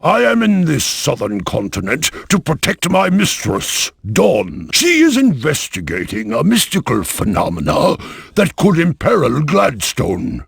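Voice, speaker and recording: Casting: haughty bodyguard for fantasy game. Gravelly, formal, unhurried, with big swooping intonation. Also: male voice, fairly high pitch, wildly swooping pitch, dry recording, clean studio sound, exaggerated voice. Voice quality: gravelly, husky. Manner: pompous, dryly formal. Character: haughty male bodyguard and lawman, dryly condescending. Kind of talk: video game cutscene acting.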